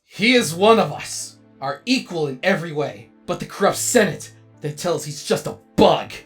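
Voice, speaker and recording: A man's voice: a little high, moderate pace, creaky, faint music behind. Man playing a theatrical, self-important robot director. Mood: exasperated, excitable. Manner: theatrical, grandiose, excitable. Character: vain, melodramatic robot actor and amateur movie director. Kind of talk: comedic animated comic dub acting.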